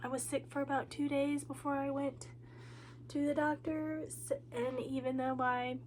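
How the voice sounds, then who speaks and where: Woman vlogger with a stuffy nose, high voice, kinda flat, slow and rambly, lots of ums, faint hum behind. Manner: rambling with many ums and likes. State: congested, nasal from a cold; tired and low on energy. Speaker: booktuber. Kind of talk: casual reading update vlog.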